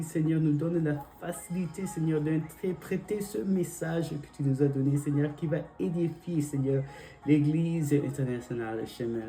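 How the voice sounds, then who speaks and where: A young man who preaches as a pastor in French, his second language, with an English-speaking accent, speaking haltingly with many fillers and repeated invocations during prayer and scripture reading. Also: on the high side, warm tone. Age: young adult. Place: church.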